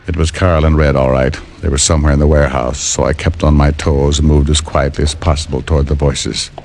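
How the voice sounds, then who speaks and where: An American actor playing a tough, wisecracking private detective in an old radio drama, sardonic and unhurried. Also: male voice, rumbling low, flat delivery, creaky, darkish tone, slight background noise. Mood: tense narration. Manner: sardonic, hard-boiled, unhurried. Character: tough wisecracking male private detective, menacing yet casual. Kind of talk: 1940s-50s radio detective drama acting. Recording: old radio broadcast recording, music behind.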